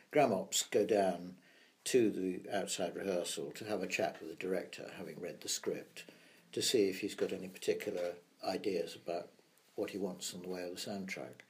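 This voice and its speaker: An older man, a sound effects veteran, telling measured, reflective stories from his working years in an interview. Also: deep voice, a little mellow. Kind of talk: anecdotal interview storytelling.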